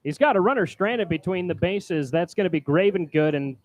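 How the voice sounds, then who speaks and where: A man calling live baseball play-by-play from a booth as announcer, projecting loudly on the calls. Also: high voice, animated, moderate pace. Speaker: baseball play-by-play announcer, also doing public address. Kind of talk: live play-by-play sports commentary. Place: press booth at a ballpark. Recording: clear background noise.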